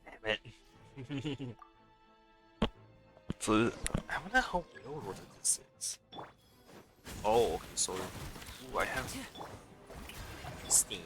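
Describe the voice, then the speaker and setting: A man's voice: medium pitch, expressive, very slow, pauses often, music in the background. A man giving casual live game commentary, muttering and thinking aloud as he plays. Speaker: game streamer.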